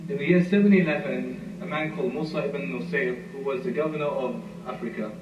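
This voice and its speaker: A man giving a history lecture, telling the story at an unhurried storyteller's pace with frequent "uh" fillers. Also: medium pitch. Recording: muffled, roomy, far from mic, noisy.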